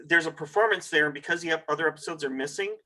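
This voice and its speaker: Male speaker, bright forceful tone, measured explanatory delivery. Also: on the high side. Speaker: audience member invited to speak. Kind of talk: casual panel discussion.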